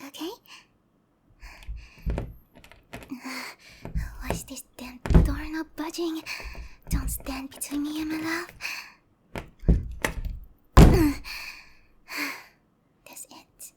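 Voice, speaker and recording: A woman voicing a sweet, obsessive young snake-monster girlfriend in a roleplay ASMR, soft and whispery, with a cutesy, pleading delivery. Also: high-pitched, heavy background noise. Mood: apologetic, worried, then frustrated, forceful.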